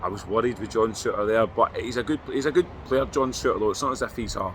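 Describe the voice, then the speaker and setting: A Scottish man co-hosting a football podcast outdoors, talking in a measured, thoughtful way. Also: lowish voice, slight background noise. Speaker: podcast co-host. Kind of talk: post-match fan reaction chat.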